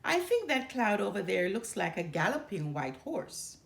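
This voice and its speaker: A woman's voice: mid-range voice, very animated, moderate pace, vocal fry, echoey room. A woman voicing a boastful, competitive young boy bear cub in a storybook, with a showy, emphatic way of talking. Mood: musing.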